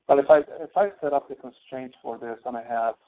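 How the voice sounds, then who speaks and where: Over a narrow, phone-like online meeting connection, a man gives a tutorial. His mid-pitched voice has a lot of creak. He explains step by step in a halting, instructional way. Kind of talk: tutorial walkthrough over screen share.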